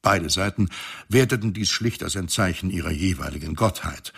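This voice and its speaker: Low, gravelly, husky cosmic storyteller. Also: male voice, normal speed, a bit bright, full character voice. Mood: wry. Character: genial, ancient once-human male mind of space station. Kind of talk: storytelling narration in science fiction radio play.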